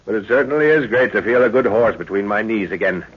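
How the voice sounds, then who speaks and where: An actor playing an older, fatherly war commander, bold and gruffly affectionate, with a gravelly voice in old-time radio adventure style. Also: lowish voice, lively intonation, quick pace. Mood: hearty. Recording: muffled, noisy old radio recording.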